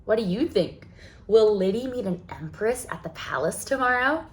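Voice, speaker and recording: A woman's voice: mid-range voice, animated, normal speed. A woman hosting a children's storytime, reading a picture book aloud expressively, with questions and exclamations of wonder for young listeners. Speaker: children's library storytime host.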